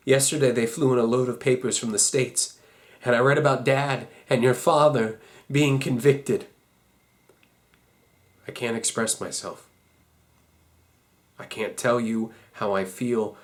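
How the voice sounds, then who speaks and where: A man playing an anguished, idealistic young American war veteran, guilt-ridden and outraged, in an intense, halting dramatic stage monologue. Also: mid-range voice, close to an everyday voice. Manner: intense, halting, emphatic, emotional.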